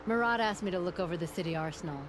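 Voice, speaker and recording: A woman's voice: lowish voice, rapid-fire, noisy background, lightly acted. A woman acting a confident, flirtatious, proud tinkerer in a video game, with a wry, teasing manner.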